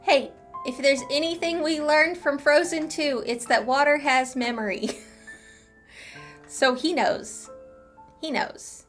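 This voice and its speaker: Woman gamer, fairly high voice, casual commentary, wry asides, game music behind. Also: steady tone, slow, fairly bright tone. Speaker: gaming channel host.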